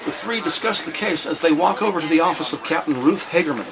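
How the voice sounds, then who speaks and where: A higher-pitched crime drama narrator. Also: male voice, fast talker, creaky, crowd noise, rough recording. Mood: matter-of-fact. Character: descriptive, wry male crime story narrator. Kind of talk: scripted present-tense audio drama narration.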